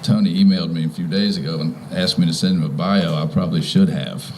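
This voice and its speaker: A man in his fifties or older from Virginia giving an informal, halting welcome speech as a property owner. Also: deep voice, fairly even intonation, heavy background noise. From Virginia, United States. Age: 50s or older. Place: outdoors in front of a house.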